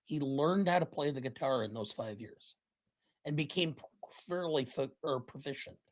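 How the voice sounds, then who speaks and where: A podcast co-host in casual chat, talking in a halting way with many ums and uhs. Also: medium pitch, expressive.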